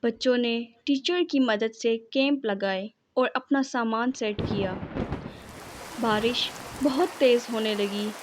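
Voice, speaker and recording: High-voiced woman narrating a children's story. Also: slow, background noise.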